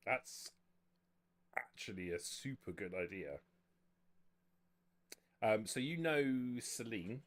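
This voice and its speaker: A man speaking as game master, explaining the rules of a tabletop roleplaying game in a measured way. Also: lowish voice, lively intonation, slight background noise.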